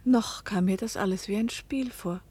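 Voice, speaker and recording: An actress playing a young woman who narrates her own story in a radio play, reflective and measured. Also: mid-range voice, warm tone, breathy edge, natural voice. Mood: detached, amused.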